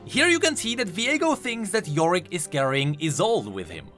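A man's voice: high-pitched, big pitch swings, normal speed, sharp tone. A man giving enthusiastic, emphatic explanatory commentary on video game lore. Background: faint music.

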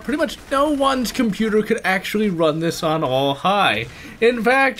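A man's voice: high-pitched, a bit bright, a little hiss or hum. A man delivering a scripted video game review as a voice-over, opinionated, with theatrical stress on key words.

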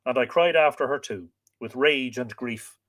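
A man's voice: medium pitch, moderate pace, fairly bright tone, lightly acted. A man reading the lines of a wild young boy raised by a deer, telling his sorrowful tale.

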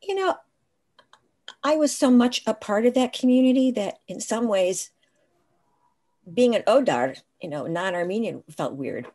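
A woman's voice: fairly high pitch, animated, a little slow, pauses often, warm tone. An older woman and writing professor, talking reflectively in a casual video-call interview from a room at home, with frequent restarts.

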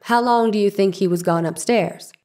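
A woman's voice: mid-range voice, normal speed, a little mellow, lightly acted. A woman reading the part of a persistent, exacting defense lawyer in a dramatized 1890s trial, questioning formally and methodically.

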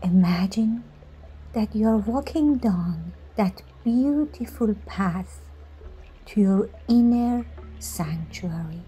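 A woman leading a scripted guided meditation in a soothing manner, giving instructions in short phrases with pauses between them. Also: medium pitch, lively intonation, dark tone, a little hiss or hum, low-quality recording. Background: music.

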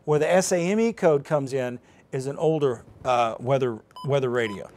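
A man's voice: medium pitch, normal speed, a little mellow, a little hiss or hum. A middle-aged man presenting weather on TV, giving an informal live how-to and thinking aloud as he goes. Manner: informal, thinking aloud while handling a device. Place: TV studio. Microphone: lapel mic.

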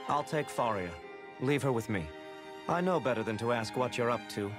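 A man's voice: medium pitch, lively intonation, moderate pace, over music, natural voice. An actor playing a considerate, loyal young man, casual and reassuring, in a dramatic video game. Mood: apologetic, reassuring.

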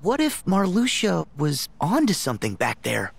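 A man's voice: high voice, huge pitch swings, normal speed, vocal fry, slightly rough, exaggerated voice. A man playing an earnest, plucky teenage boy hero in a video game, blunt and casual in manner. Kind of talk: video game cutscene acting.

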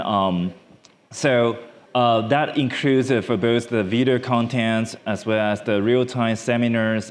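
A man from Taiwan, giving a conference talk from a large stage on a lapel mic, halting with many fillers and restarts. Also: lowish voice. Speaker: startup founder speaker.

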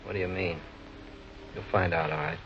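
Evasive chauffeur, very low pitch, casual slang, defensive, 1940s crime drama, dull vintage soundtrack. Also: male voice, steady tone, moderate pace, fairly bright tone, faint music behind. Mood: defensive, puzzled. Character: slangy, defensive male chauffeur under police questioning. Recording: muffled old film soundtrack.

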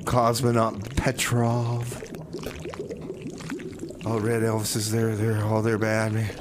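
A man's voice: lowish voice, completely flat delivery, takes it slowly. A man hosting a radio music show with casual, slangy banter, often repeating his phrases. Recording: clear background noise.

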